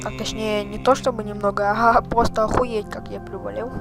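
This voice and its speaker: A man's voice: sits high, flat delivery, normal speed, music in the background. A man hosting a gaming channel, chatting casually and swearing as he reacts to the game. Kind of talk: casual let's-play commentary.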